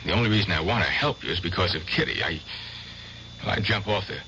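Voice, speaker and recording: A persistent young doctor in a radio play, with a very low voice. He speaks carefully and earnestly, hesitating as he tries to conciliate, on a dull old recording with faint noise. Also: expressive, quick pace, pushed and bright, heavily acted. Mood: hesitant, earnest. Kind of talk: radio drama acting.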